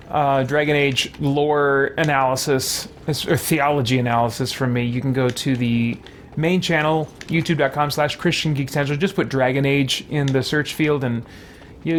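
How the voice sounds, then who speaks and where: A man giving casual live gameplay commentary as a streamer, rambling and thinking out loud as he goes. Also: mid-range voice. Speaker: gaming channel host, streamer. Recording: noticeable background noise.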